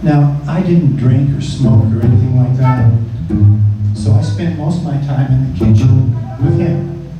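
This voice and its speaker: Guy reading stories live in a club, takes his time w pauses, kinda far from the mic, loud plucked string music behind him. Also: mid-range voice, heavy reverb, highs cut off. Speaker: reader of musicians' anecdotes. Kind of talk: spoken-word storytelling over live jazz. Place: indoor jazz club performance. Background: live music with guitar or plucked strings.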